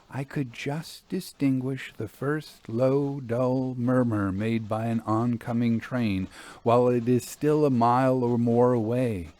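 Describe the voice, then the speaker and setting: A man reading an old-fashioned ghost story in a deliberate, theatrical, suspenseful way, with dramatic pauses. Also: mid-range voice, a little mellow, a little hiss or hum. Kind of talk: dramatic audiobook narration of a Victorian ghost story.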